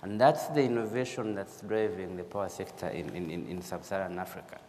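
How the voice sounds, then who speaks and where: A man's voice: sits low, brisk, a little mellow. A man with a Ugandan accent giving a formal conference presentation, repeating words for emphasis. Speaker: conference speaker, energy specialist. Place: large auditorium stage. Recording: echoey hall, noticeable background noise.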